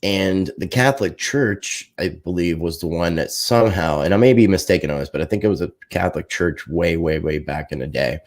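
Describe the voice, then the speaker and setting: A man's voice: low voice, fairly quick, few pauses. A man talking casually on a live talk show, rambling in a tentative, hedging way. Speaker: podcast host.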